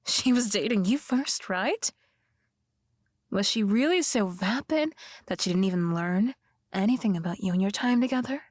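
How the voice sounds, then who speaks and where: A woman acting a possessive, sweetly menacing yandere girlfriend in an intimate ASMR roleplay, with a breathy voice that often drops to a whisper and a coaxing, threatening manner. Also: mid-range voice, lively intonation, no echo, clean studio sound, close to an everyday voice. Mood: bitter, possessive. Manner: slow, coaxing, softly threatening.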